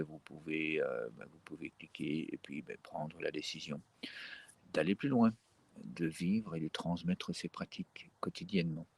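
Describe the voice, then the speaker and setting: A man leading a live-streamed guided breathing session in a gentle, unhurried way, with frequent fillers and restarts. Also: sits low, vocal fry, husky.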